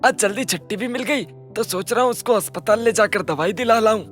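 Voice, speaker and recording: A mild, patient older village man, played by a woman in a cartoon story. The voice is middling in pitch and homely, with faint music underneath. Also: brisk, close to an everyday voice. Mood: friendly, concerned. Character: gentle ageing village husband and father-in-law. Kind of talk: cartoon dialogue.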